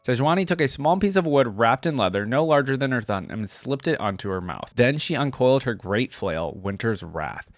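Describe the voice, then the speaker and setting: A man's voice: medium pitch, lively intonation, normal speed, few pauses, no echo, studio quality. A man hosting a reaction video, reading a story aloud and commenting informally in a casual style. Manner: informal, breaks off to comment on what he reads.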